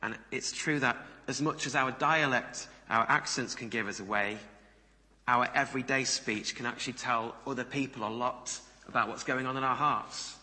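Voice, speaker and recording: A man's voice: medium pitch, fairly even intonation, normal speed, sharp tone. A man giving a sermon in a conversational manner, putting questions to his listeners. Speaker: preacher. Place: large reverberant room before a congregation. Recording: reverberant hall sound.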